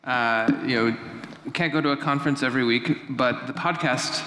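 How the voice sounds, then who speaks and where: A man's voice: medium pitch, moderate pace, bright, forceful tone. Male moderator closing a panel, echoey hall. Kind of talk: wrapping up a panel. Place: conference stage, large hall. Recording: echoey hall, faint audience.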